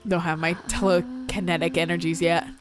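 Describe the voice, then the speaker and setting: A woman co-hosting a casual gameplay chat, stuffy from a cold, talking in fast, laughing, swearing rants. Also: on the low side, fairly even intonation, music in the background. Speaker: gameplay co-host.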